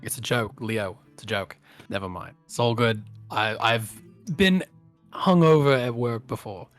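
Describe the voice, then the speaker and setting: A man's voice: medium pitch, measured pace, soft background music, lightly acted. A man voicing an easygoing young townsman. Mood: joking. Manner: easygoing, rambling with many uh fillers. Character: friendly young man raising his little brother. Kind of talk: in-character tabletop roleplay.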